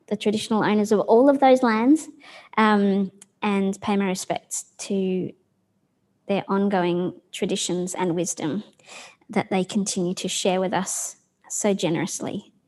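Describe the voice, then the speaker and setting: A woman speaks casually to a live audience, warm and informal, often pausing on ums and restarting her sentences. Her voice sits at a middle pitch for a woman. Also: fairly even intonation. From Australia. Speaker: arts organisation leader, co-host. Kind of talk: casual spoken presentation at a live event.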